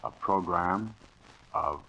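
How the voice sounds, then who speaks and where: Slow, very deep male radio announcer. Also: bright, forceful tone, slight background noise. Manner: portentous, emphatic. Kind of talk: 1940s scripted radio announcing. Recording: dull old radio recording.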